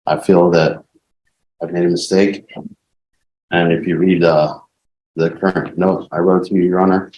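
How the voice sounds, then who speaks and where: A man's voice: deep voice, level tone, unhurried, long pauses, highs cut off. A man speaking as defendant in court, making a subdued plea for leniency. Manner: subdued, pleading. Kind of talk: courtroom statement.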